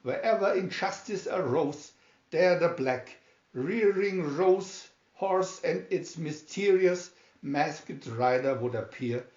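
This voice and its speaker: Slow, theatrical male storybook narrator. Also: on the high side, animated, darkish tone. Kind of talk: dramatic scripted narration. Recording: dull, roomy home recording.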